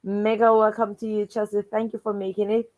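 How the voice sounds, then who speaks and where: A woman's voice: medium pitch, flat delivery, fairly quick, a little mellow. A woman hosting a children's read-aloud livestream, encouraging and fond of repeating stock welcome phrases. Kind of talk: livestream hosting, greeting viewers and recapping stories.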